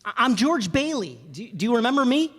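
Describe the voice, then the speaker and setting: An actor playing an earnest, self-doubting young husband in a radio play, with a halting, folksy stammer. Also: male voice, very high-pitched, big pitch swings, a bit bright, full character voice. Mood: flustered, amazed. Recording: echoey hall, stage reverb.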